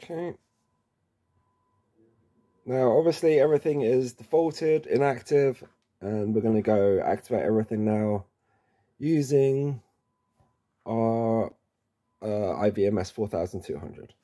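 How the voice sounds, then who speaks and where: A man's voice: lowish voice, measured pace, long pauses, dark tone. A man presenting an informal instructional setup video, talking the viewer through it step by step and addressing them as guys. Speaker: presenter of product setup tutorials.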